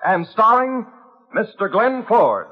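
A man's voice: high-pitched, very animated, takes it slowly. A man reading programme credits as an emphatic, proclaiming announcer in old-time radio style. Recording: muffled vintage radio over loud music.

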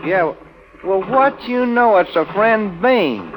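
A man's voice: high voice, sing-song, slow pace, a bit bright, full character voice. An actor playing a brash, good-natured, girl-chasing sidekick in a folksy, slangy 1940s radio drama style. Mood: easygoing, curious. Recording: noisy old-time radio recording.

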